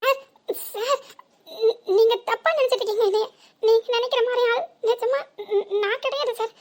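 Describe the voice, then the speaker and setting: A woman voicing a fallen old woman who groans, wails and pleads for help in an animated story. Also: very high-pitched, normal speed. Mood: bewildered. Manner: wailing, pleading and groaning in pain.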